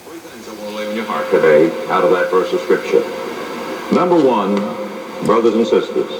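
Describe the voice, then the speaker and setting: A man's voice: on the low side, very animated, normal speed. A man preaching an emphatic, rhetorical sermon, heard through an old radio. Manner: emphatic, rhetorical, dramatic swings in loudness. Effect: played through an old radio. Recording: noisy echoey radio broadcast, heard from afar.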